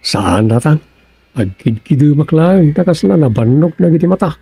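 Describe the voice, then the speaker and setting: An actor with an Ilocano accent playing a fretful, muttering son-in-law in a radio comedy drama. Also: male voice, on the high side, expressive, normal speed, gravelly, warm tone, husky, dry recording, exaggerated voice. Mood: uneasy.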